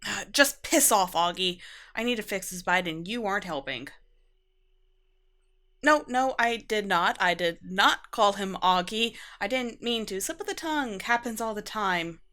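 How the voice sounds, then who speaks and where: A woman playing a flustered but caring young vampire in a conversational ASMR roleplay, halting and defensive with sarcastic asides. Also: mid-range voice, animated, pushed and bright. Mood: annoyed.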